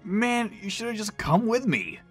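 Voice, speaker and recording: A man's voice: high voice, big pitch swings, normal speed, breathy edge, faint music behind, exaggerated voice. A man playing an easygoing, chatty male friend in an audio drama, slangy and mildly griping. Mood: casual, teasing.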